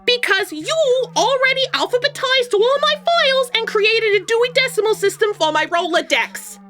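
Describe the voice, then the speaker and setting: Very high, bright alien office clerk. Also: female voice, expressive, moderate pace, soft background music, exaggerated voice. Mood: brisk, matter-of-fact. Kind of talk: scripted audio comedy acting.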